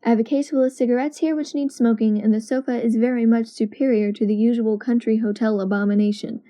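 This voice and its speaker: A woman playing a brisk, self-assured male detective in an amateur audio drama, speaking formally and analytically. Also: a little high, steady tone, mellow, close to an everyday voice. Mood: dry, unhurried.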